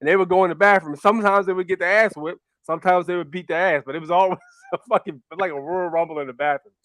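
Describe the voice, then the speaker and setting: A man's voice: high voice, fairly even intonation, quick pace, a bit bright, no room sound. A man hosting a casual chat, laid-back and slangy, swearing freely. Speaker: host.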